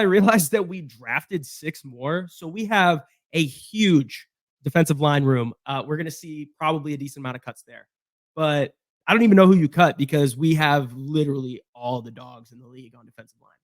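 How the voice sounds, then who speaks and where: A hoarse man with a fairly high voice talks through a football roster on his own, rambling with fillers and restarts. The sound is dry and close, with no room around him. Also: dry recording. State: hoarse from being sick. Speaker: sports podcast host. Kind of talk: casual solo sports breakdown.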